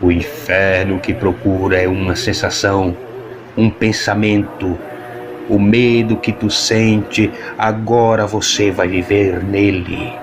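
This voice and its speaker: A man's voice: fairly low pitch, little pitch movement, background noise. A man with a Brazilian accent voicing a sinister, solemn man who pronounces a curse in an audiobook horror story. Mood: solemn, menacing. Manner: slow, ominous, solemn.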